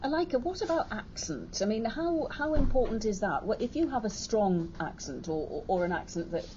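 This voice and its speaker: A radio presenter leading a morning interview, steering questions briskly with frequent stumbles and restarts. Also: male voice, high-pitched, expressive. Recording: dull broadcast audio with faint hiss.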